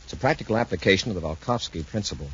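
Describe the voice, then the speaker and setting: An actor playing a calm, authoritative space patrol commander with a husky voice in a 1950s radio serial. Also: male voice, on the low side, racing, a little hiss or hum. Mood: explaining. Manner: decisive, patiently explanatory. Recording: muffled 1950s radio recording.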